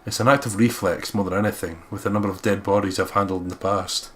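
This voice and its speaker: A man's voice: fairly low pitch, fairly even intonation, quick pace. A man narrating a visual novel aloud as a let's player, reading expressively. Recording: faint background noise.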